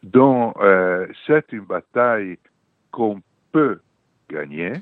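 An older man with an Italian accent, a political journalist answering radio interview questions earnestly, pausing often with fillers. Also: on the low side, expressive, dry recording. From Italy. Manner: earnest, hesitant with many fillers. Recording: tinny dry phone line.

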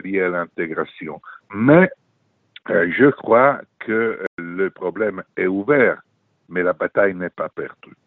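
An older man with an Italian accent, a political journalist answering radio interview questions earnestly, pausing often with fillers. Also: deep voice, no room sound. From Italy. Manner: earnest, hesitant with many fillers. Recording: tinny dry phone line.

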